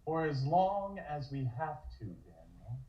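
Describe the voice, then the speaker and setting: Weary, stern old cult patriarch, acted by a man, with lively pitch swings, in a muffled echoey room. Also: slow. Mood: stern, restrained. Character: weary, stern, aging cultist father. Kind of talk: amateur audio drama table read. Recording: muffled, echoey home microphone.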